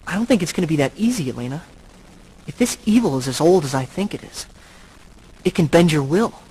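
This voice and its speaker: A centuries-old vampire for a game, tormented yet noble. His voice sits a little high for a man, with a husky, gravelly grain. He speaks gravely and haltingly, as if every line were a confession. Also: lively intonation, mellow, rough recording. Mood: pained, confessional. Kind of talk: earnest video game voice acting.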